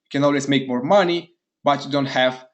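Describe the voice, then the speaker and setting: An eager man from Romania hosts a live online webinar, pitched squarely in the middle for a man. He speaks straight to his chat audience, upbeat and keen, and fires off quick repeated words of praise. He sounds like he is talking into a computer mic on a web call. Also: fairly even intonation. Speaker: webinar host.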